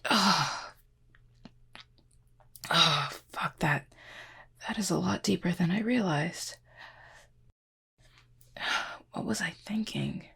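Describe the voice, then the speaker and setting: A woman playing an injured fallen cupid in an intimate roleplay audio, soft and breathy, with a formal, measured, dryly aloof but curious manner. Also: on the low side, full character voice. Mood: pained, dismayed. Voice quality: soft, breathy, husky with fry. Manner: measured, formal, wordy phrasing.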